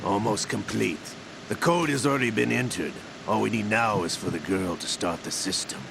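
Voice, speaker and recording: Gravelly, low-voiced composed older villain. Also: male voice, moderate pace. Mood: measured, businesslike. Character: composed older villainous intelligence officer, quietly evasive. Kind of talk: video game cutscene acting. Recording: noisy vehicle-like ambience behind.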